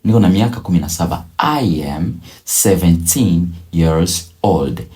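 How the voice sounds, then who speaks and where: A man teaching a beginner language lesson, drilling each phrase slowly and repeating it several times. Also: low voice, lively intonation, dark tone. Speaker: English teacher.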